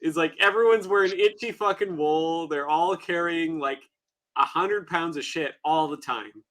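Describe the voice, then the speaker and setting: Bright-voiced Minnesotan man, casual. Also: fairly high pitch, normal speed. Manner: laid-back, swears freely, laughs often. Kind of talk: casual chat.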